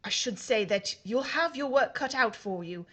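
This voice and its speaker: A woman's voice: a little high, expressive, moderate pace, a bit bright. An actress playing a haughty, fearless aristocratic lady reporter in a period audio drama, with a British accent and a wry, formally articulate manner. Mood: cool, determined.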